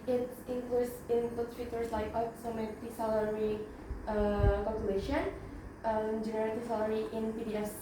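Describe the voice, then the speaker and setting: A young woman with an Indonesian accent presents in a fairly high voice, pausing often to restart and fill gaps. She sounds distant from the mic in a very echoey room with steady background noise. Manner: halting, with frequent fillers and self-corrections. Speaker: student presenter. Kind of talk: class presentation.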